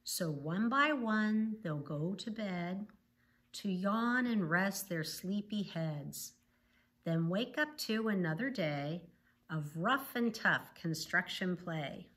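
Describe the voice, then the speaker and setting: A middle-aged woman reading a bedtime picture book aloud in measured, rhythmic verse. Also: mid-range voice, expressive, a bit bright. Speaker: volunteer story reader. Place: small living room, indoors on a sofa.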